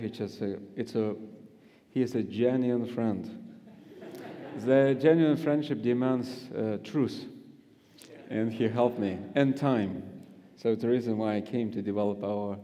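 Male guest preacher, Russian accent, unhurried, halting delivery, long hall reverb. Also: lowish voice, fairly even intonation, mellow, muffled recording. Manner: friendly, joking, halting with many fillers. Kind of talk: sermon with scripture reading.

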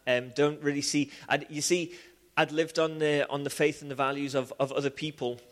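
A man from Liverpool with a Liverpool accent, preaching a sermon with personal testimony in an animated way, full of restarts and "um"s. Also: a little high, normal speed. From England. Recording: long hall reverb.

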